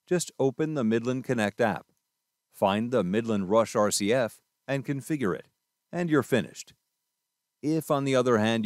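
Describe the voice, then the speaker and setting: A man with a fairly low voice narrates a scripted product tutorial in a close, dry recording. He is methodical and instructional, taking the listener through each step in order. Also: no room sound.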